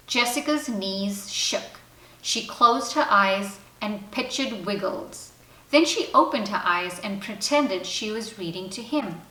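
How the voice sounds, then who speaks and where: A woman reading a children's storybook aloud in an expressive, animated, deliberate way. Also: medium pitch. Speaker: teacher reading a children's picture book aloud. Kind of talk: expressive scripted storybook narration. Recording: roomy echo, distant from mic.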